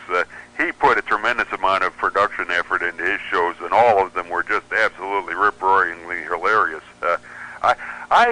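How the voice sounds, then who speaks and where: A man's voice: deep voice, sharp tone, rough recording. A man chatting and explaining as a guest in a casual radio interview, with a wry manner.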